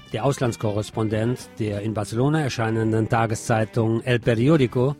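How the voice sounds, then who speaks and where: Man reading radio news over music. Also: medium pitch. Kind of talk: scripted local news reading.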